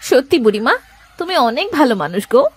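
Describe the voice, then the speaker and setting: A woman voicing a sharp-tongued but caring village wife in a cartoon, with expressive domestic-drama delivery. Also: high-pitched, fast talker, breathy edge, no echo, exaggerated voice. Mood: caring, friendly. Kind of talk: Bengali moral-story cartoon dubbing.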